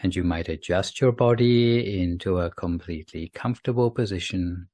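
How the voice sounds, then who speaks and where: A man leading a scripted sleep meditation in a soothing, gentle, unhurried manner, leaving long pauses between phrases. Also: low-pitched, no room sound, clean studio sound. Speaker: meditation guide.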